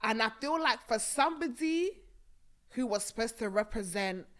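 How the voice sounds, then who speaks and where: Animated woman podcast host, bright voice. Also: on the high side, normal speed. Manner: animated and emphatic, bursts of laughter. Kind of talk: casual chat reviewing a reality show. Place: small purple-lit studio set on a sofa. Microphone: studio mic on a boom arm.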